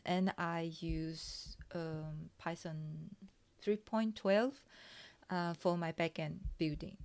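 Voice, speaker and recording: A woman, a Mandarin speaker, talking softly and hesitantly through a coding tutorial with many fillers and pauses. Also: on the low side, clean tone. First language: Taiwanese Mandarin. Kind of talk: soft-spoken ASMR coding walkthrough.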